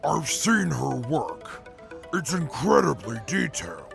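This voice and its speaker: Man playing stiff logical interviewer, very gravelly, deliberate pace, formal deadpan, post-apocalyptic audio drama. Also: on the high side, over music, heavily acted. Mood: formal, measured. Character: Atlas, stiff logical field interviewer, amoral intellectual.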